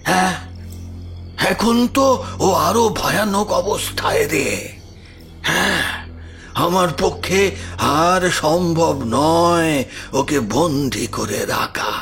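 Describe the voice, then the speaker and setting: A man playing a remorseful old village occultist in a horror audio story, halting and repeating his words in a fatherly rustic way. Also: on the high side, animated, fairly bright tone, soft background music, full character voice. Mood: grave, regretful.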